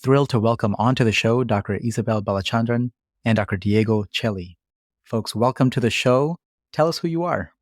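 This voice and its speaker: A man's voice: mid-range voice, a little fast, dry recording, studio quality. A man co-hosting a podcast, welcoming guests in an enthusiastic way. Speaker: podcast co-host.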